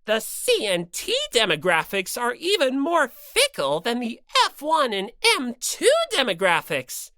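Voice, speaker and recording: Mischievous bear villain mascot, smug, mock-sweet, theatrical, with a lively swooping delivery for an audiobook. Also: female voice, on the high side, unhurried, few pauses, no room sound, clean studio sound, voice pushed up, heavily acted. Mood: showy, scheming. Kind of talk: dramatized audiobook character reading.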